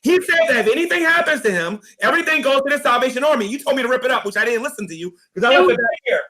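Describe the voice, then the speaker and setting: A hoarse man in a heated livestream argument, insistently repeating the other person's name as he pleads and accuses. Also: extremely high pitch, animated, racing, sharp tone. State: hoarse, losing his voice. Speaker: YouTuber.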